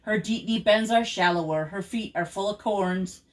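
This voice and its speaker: An older woman, a grandmother, reading children's rhyming poems aloud in an expressive, rhythmic, theatrical way. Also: medium pitch, normal speed, rough recording.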